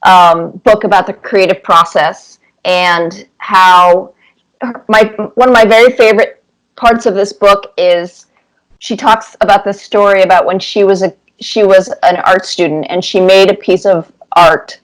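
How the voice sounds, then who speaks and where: A woman in her fifties speaks as an interview guest. Her delivery is casual and reflective, at a middle pitch, explaining things through small personal stories. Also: fairly even intonation, a little slow, poor audio. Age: 50s. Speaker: cartoonist guest being interviewed.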